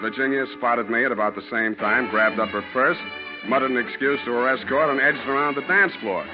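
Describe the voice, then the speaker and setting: A man plays a hard-boiled American private eye, wry and deadpan, tossing off wisecracks in mid-pitched tones. It is 1940s radio drama, muffled with age, with music clearly behind. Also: brisk, lightly acted. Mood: wry, narrating. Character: wry, street-wise hard-boiled male private detective. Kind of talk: 1940s radio acting, narration and dialogue.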